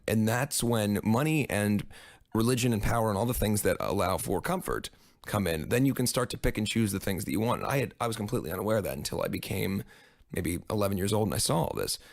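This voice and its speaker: A man's voice: fairly low pitch, vocal fry, no echo, studio quality. A man talking candidly and reflectively, with a blunt manner.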